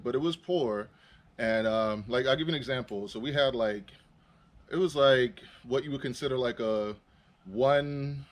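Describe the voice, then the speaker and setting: A young adult man from Trinidad and Tobago, telling a rambling personal story as a vlogger, full of fillers and restarts. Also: medium pitch. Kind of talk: casual storytelling. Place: in a vehicle.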